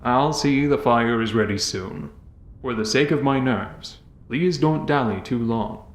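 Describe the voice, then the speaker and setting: A man's voice: medium pitch, measured pace, natural voice. An actor playing a courteous, weary male warrior in an audio drama, speaking in formal, archaic phrases. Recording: roomy echo on the voice.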